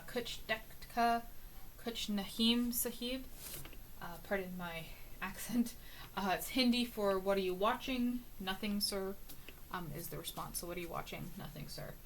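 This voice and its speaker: A woman reading aloud from book notes in a casual, musing way, with many ums and uhs, often trailing off softly. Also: mid-range voice, a little hiss or hum. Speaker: book reader and annotator.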